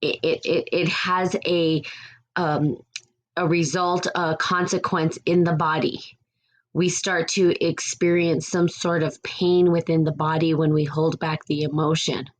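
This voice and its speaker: Woman podcast host, low raspy voice, meandering reflective delivery. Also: little pitch movement, a little slow. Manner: rambling and reflective, with frequent restarts. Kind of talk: casual spiritual podcast talk.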